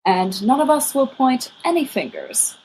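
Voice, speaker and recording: A woman voicing a serene, courteous old male wizard headmaster in an audiobook, measured, formal and gently firm. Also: sits high, big pitch swings, slight background noise, pitched above the performer's own voice, poor audio. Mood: calm, benevolent. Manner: measured, formal, politely wordy. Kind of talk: audiobook character reading.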